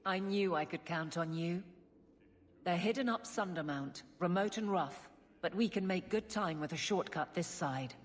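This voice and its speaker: A woman's voice: on the low side, normal speed, lightly acted. An actress playing a blunt, no-nonsense female city guard officer in a video game. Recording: game audio with long reverb and faint music.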